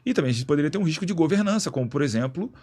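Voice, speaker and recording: A man being interviewed as a guest in a radio studio, explaining in a relaxed, slangy way with many restarts. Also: fairly high pitch, rapid-fire. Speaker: guest, executive director of an institute.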